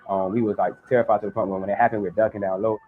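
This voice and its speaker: A man's voice: fairly low pitch, level tone, very fast. A nineteen-year-old man from Florida giving a personal account in a documentary interview. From Florida, United States. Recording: thin phone-like noisy interview clip.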